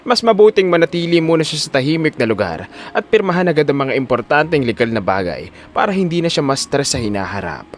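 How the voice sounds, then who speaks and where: Man acting a smooth, scheming doctor. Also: fairly high pitch, sing-song, quick pace, a little hiss or hum. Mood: smooth, professional. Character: scheming male doctor, smooth and professional, secretly calculating. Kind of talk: Filipino audio drama acting.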